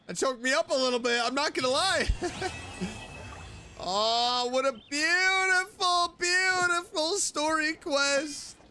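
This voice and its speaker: Man, game playthrough commentary, gushing, forward bright tone, high pitch, light background music. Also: slow pace. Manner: expressive, gushing reactions. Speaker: streamer, VTuber. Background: faint game music.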